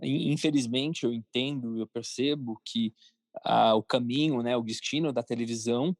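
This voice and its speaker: A man's voice: medium pitch, moderate pace, no echo. A man with a Brazilian accent answering interview questions in an informal, explanatory conversational way. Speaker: podcast guest, cartoon creator and entrepreneur.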